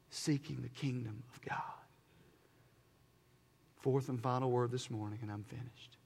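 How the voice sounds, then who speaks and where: Man preaching earnestly in a reverberant hall. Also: fairly high pitch. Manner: earnest, emphatic, repeats phrases for effect; swings between hushed and loud, rousing delivery. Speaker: pastor. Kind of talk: sermon to a church congregation. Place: large reverberant church hall.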